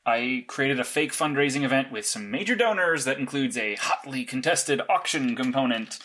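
A man's voice: mid-range voice, animated, moderate pace, sharp tone, slight background noise. A man acting as a matter-of-fact, analytical vigilante in an audio drama, clipped and insistent. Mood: confident, planning. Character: blunt, analytical, sleepless male vigilante mastermind. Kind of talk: naturalistic audio drama dialogue.

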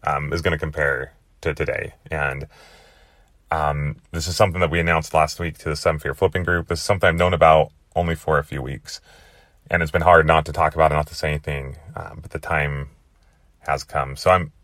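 A young man with a hoarse, worn-out voice hosting his podcast in a rambling, chatty way. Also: extremely low pitch, fairly even intonation, brisk, a bit bright, no room sound, studio quality. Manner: chatty, rambling with many fillers. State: hoarse, lost voice. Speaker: podcast host. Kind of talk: casual monologue.

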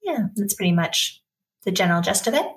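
A woman's voice: mid-range voice, big pitch swings, fairly quick. A woman from Canada talking casually and candidly as a podcast guest.

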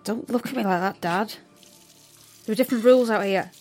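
A woman's voice: medium pitch, fairly quick, darkish tone, faint music behind, lightly acted. An actress playing a resentful, rebellious teenage girl in an audio drama, with a sullen, challenging manner. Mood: defiant.

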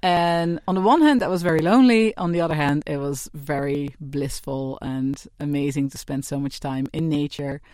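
Dutch woman with a low voice telling her story in a podcast chat, dead dry sound, a bit of crackle. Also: moderate pace, few pauses, darkish tone, no room sound. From Netherlands. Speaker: forest bathing guide. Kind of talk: podcast interview guest. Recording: crackly static on the mic.

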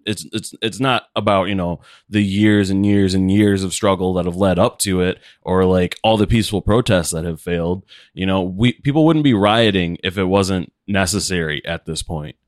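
A man co-hosting a casual podcast, speaking in a calm, quiet, monotone voice that rarely gets loud. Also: low-pitched, brisk, hardly any pauses, no room sound, clean studio sound. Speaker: podcast co-host. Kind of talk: casual chat.

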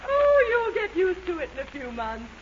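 Sassy mocking american wife, really high swoopy voice, old noisy radio comedy. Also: female voice, sing-song, moderate pace. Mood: smug mockery. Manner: sassy, slangy. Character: brash, mocking wife enjoying the upper hand. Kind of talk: 1940s radio sitcom acting. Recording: muffled radio recording with heavy noise.

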